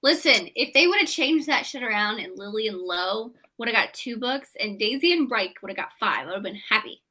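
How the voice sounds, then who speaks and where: A woman's voice: on the high side, expressive, normal speed, bright, forceful tone. A woman chatting casually on a book club live show, peppering her talk with "like".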